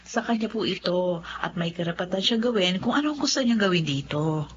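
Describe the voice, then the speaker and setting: A woman playing a polite but firm lawyer in a Filipino radio drama, formal and calmly apologetic. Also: lowish voice, fairly quick, close to an everyday voice. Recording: dull recording, faint background noise.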